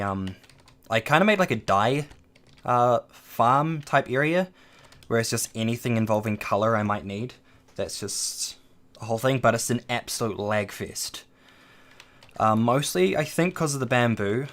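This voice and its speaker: Guy streaming a game at his desk, low smooth voice, rambly with lots of ums. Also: fairly bright tone. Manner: rambling, many ums, reads and answers chat. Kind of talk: casual game stream chat. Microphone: desk microphone.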